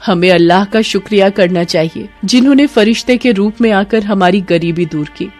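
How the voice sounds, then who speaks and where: A woman's voice: medium pitch, normal speed, darkish tone, faint music behind, natural voice. A woman voicing a devout, reassuring village wife with earnest delivery in a moral cartoon. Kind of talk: Hindi moral cartoon dialogue.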